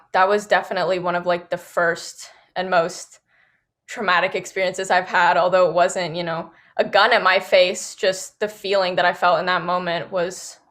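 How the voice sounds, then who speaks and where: A woman's voice: medium pitch, a bit bright. A young woman from Singapore and Los Angeles telling a personal story as a podcast panel guest, in casual youthful phrasing peppered with "like" and "you know".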